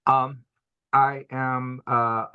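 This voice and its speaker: A middle-aged man, born in Mexico and raised in Washington State, a program manager introducing himself on a video call from an office, relaxed and halting with many fillers. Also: medium pitch, clear, resonant tone, phone-quality sound. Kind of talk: webinar self-introduction. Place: office at home or work. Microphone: computer mic on a video call.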